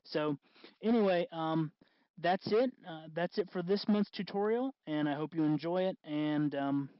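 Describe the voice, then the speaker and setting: Higher-voiced man explaining, dull dry recording. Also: no room sound. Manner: halting, self-correcting. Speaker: host of a DIY costume electronics tutorial. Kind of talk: casual unscripted tutorial talk.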